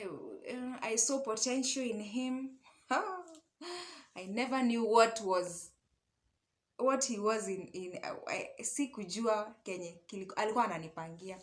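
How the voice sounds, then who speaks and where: A young woman with a Kenyan accent telling a personal story casually and conversationally, mixing English and Swahili and often checking in with little tag questions. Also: on the high side. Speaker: online storyteller and love coach.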